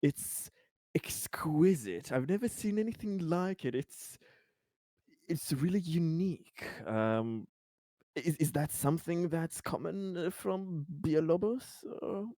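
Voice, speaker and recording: Man roleplaying in halting, courteous phrases, dry sound. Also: fairly high pitch, expressive, dark tone, no echo. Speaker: tabletop roleplaying game player. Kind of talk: improvised in-character dialogue in a tabletop game.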